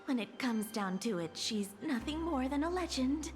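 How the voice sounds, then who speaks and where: A woman's voice: sits high, animated, moderate pace. A woman voicing a regal painted goddess in a video game, with grandiose, formal delivery. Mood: resigned. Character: stately painted goddess, female, regal and self-proclaimed. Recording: music behind the voice.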